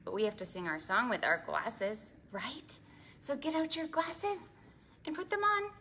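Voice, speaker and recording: A woman with a bright, forward voice leads a preschool storytime. She speaks as a children's librarian would, animated and exaggerated, putting questions to her young listeners. There is faint noise in the recording. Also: a little high, a little slow.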